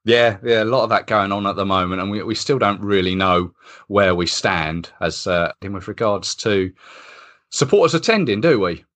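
Lively-voiced podcast host. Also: male voice, on the low side, normal speed. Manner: friendly, conversational questioning. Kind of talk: podcast interview.

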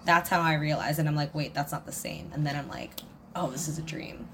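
A woman's voice: deep voice, fairly quick, fairly bright tone, a little hiss or hum. A woman co-hosting a podcast, chatting casually and rambling, swearing freely. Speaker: podcast co-host. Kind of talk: casual chat.

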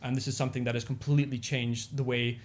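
A man's voice: mid-range voice, little pitch movement, quick pace. A young man whose first language is Indonesian, a business owner speaking as a guest in a casual interview, rambling with lots of "you know" and "like". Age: young adult.

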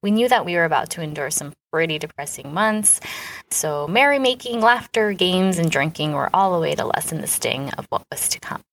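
A woman's voice: lowish voice, lively intonation, brisk, creaky, low-quality recording. A woman co-hosting a podcast, explaining things in an enthusiastic, informal, conversational way. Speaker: podcast co-host and author.

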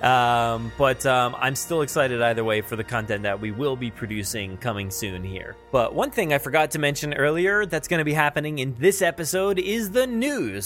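Guy doing a podcast, casual news chat, kinda halting, faint music. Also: medium pitch, fairly bright tone. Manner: halting, thinking aloud while describing what he sees. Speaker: podcast host.

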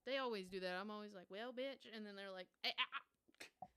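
A woman's voice: medium pitch, brisk, bright, forceful tone, smooth. A woman in her twenties hosting a casual solo podcast, rambling and swearing freely with lots of fillers. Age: 20s. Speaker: podcast host.

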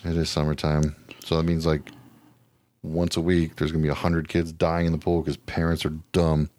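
A man's voice: very deep voice, monotone, moderate pace, a little mellow, no room sound. A man co-hosting a podcast in casual, comedic chat, rambling and profane, often poking fun at himself. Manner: profane, self-deprecating, rambling. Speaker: podcast co-host.

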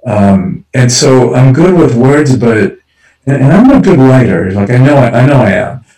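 A man's voice: medium pitch, lively intonation, normal speed, warm tone, recorded from a distance. A man from Cuba talks thoughtfully about his work as an author and speaker. He often circles back and repeats words as he finds his point. His voice sits back from the mic in a roomy, echoing space. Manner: reflective, repeats words while gathering thoughts. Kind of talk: conversational interview.

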